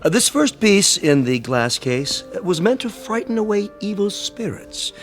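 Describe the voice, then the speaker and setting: An actor playing a prim, enthusiastic museum tour guide in a radio drama, formal and didactic. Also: male voice, high-pitched, big pitch swings, moderate pace, faint music behind. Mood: lecturing.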